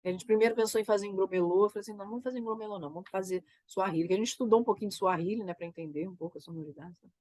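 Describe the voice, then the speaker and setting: A woman with a Brazilian Portuguese accent talks through her theater work, thinking aloud in halting phrases and checking in with her listener as she goes. She is still getting over a cold, and her voice sits at an ordinary middle pitch for a woman. The recording is dry and close, with no room sound. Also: a little mellow, no room sound. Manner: thoughtful, halting, frequent "né?" tags. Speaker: theater creator. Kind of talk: reflective conversation.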